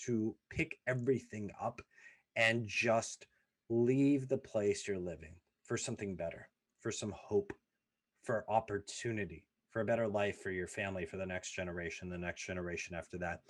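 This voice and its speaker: A man in his thirties hosting a podcast, telling his family's immigration story in an earnest, emphatic way, stacking lists and repeating phrases for weight. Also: lowish voice. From former Soviet Union, raised in the US. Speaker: podcast host. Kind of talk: personal storytelling monologue.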